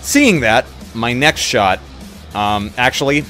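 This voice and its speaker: A man's voice: mid-range voice, lively intonation, slow pace, sharp tone, soft background music. A man chatting casually and thinking aloud as a player in a tabletop roleplay.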